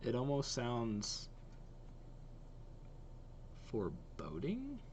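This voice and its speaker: A man's voice: mid-range voice, wildly swooping pitch, very slow, a little mellow, slight background noise. A man giving casual reaction commentary as a host, thinking aloud as he goes.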